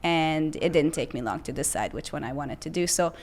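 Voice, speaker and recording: Woman, lowish voice. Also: expressive, fairly quick. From Hungary. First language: Hungarian. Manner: reflective, earnest, with frequent fillers and restarts. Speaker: podcast guest. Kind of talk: casual interview chat.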